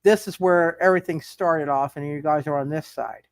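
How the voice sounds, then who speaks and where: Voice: medium pitch, moderate pace, no echo. A game master running a live tabletop roleplay, thinking aloud and often repeating words and phrases while narrating and making rulings.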